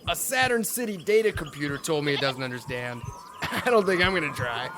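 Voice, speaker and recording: A man playing a scrappy, wisecracking space-station janitor in a sci-fi audio drama, informal, teasing and expressive. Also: on the high side, a little fast, over music, close to an everyday voice. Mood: teasing, curious.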